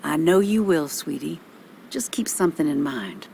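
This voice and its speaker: Folksy, creaky-voiced older policewoman. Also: deep voice, animated, normal speed, a little mellow, slight background noise. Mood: philosophical. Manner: folksy, reassuring. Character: folksy, motherly older small-town policewoman. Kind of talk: video game voice acting.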